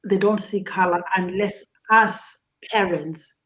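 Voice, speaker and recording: A woman's voice: mid-range voice, fairly even intonation, slow, a bit bright. A middle-aged woman with a Zimbabwean accent, giving earnest personal advice as a talk show guest, often repeating words as she searches for phrasing.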